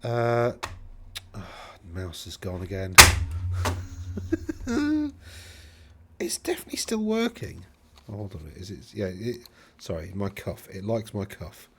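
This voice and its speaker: A man with a Middle England accent and a slightly low voice talks casually on his own. He rambles, corrects himself mid-sentence and drifts into chatty asides. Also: big pitch swings, takes it slowly, long pauses, background noise. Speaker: host reviewing tournament lists. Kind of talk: casual solo commentary.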